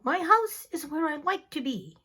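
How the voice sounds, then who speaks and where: Calm, content dreamer of a man, voiced by a woman. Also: high-pitched, voice pushed up, heavily acted. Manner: gentle, unhurried, matter-of-fact.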